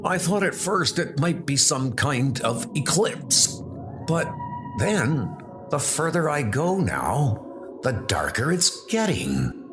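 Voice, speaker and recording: Man as strained, higher-pitched wounded scientist. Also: big pitch swings, takes it slowly, over music. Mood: strained, urgent. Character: wounded, urgent male scientist recounting a strange danger.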